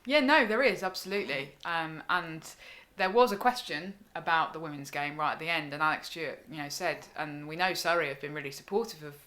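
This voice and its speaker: A woman with a somewhat low voice co-hosts a relaxed podcast. She is a little croaky and hoarse. She chats along easily, with plenty of ums and easy laughter. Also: fairly quick. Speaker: podcast co-host. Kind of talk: casual cricket podcast chat.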